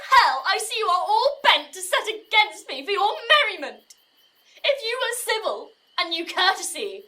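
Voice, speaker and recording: An actress playing a wounded, indignant young maiden in a Shakespearean audio drama, declaiming verse in a theatrical, aggrieved way. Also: female voice, extremely high pitch, sharp tone, full character voice, poor audio.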